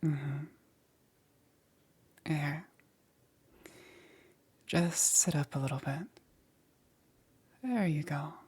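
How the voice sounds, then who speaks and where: A woman playing a gentle witch caring for a stranger in a soothing ASMR roleplay, breathy and often dropping into a whisper. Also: sits low, exaggerated voice. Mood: gentle, attentive. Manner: unhurried, soothing, checking in with short murmurs. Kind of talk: soft-spoken ASMR roleplay.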